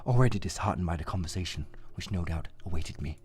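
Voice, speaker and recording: Low-voiced man reading game narration. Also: expressive, fast talker, a little mellow, husky. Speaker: gaming video host. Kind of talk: casual let's play, reading narration aloud.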